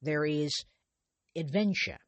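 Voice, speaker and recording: A man's voice: a little high, takes it slowly, dry recording. A man hosting his own podcast, narrating a mystery in a conversational way with frequent restarts and hesitations. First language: not Russian. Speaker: podcast host.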